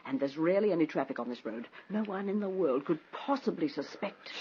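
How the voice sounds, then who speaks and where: An actress playing a cold-blooded, domineering wife who can turn sugary, in a vintage radio thriller. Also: female voice, lowish voice, animated, brisk, lightly acted. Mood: cold, commanding. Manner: commanding, sugary when pretending. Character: scheming, cold-blooded, domineering wife plotting her husband's murder. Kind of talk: 1940s-60s radio thriller acting. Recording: muffled, noisy vintage radio recording.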